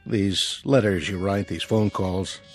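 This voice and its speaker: An actor playing a calm, firm, skeptical male FBI agent with a measured, polite, official manner in a radio drama. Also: deep voice, faint music behind. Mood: firm, dismissive.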